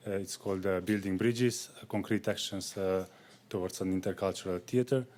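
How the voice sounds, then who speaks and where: Man announcing an event, hesitant with lots of "uh"s, lowish voice. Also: fairly even intonation, moderate pace, darkish tone. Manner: hesitant, many fillers. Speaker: seminar participant announcing an event. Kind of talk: spontaneous announcement.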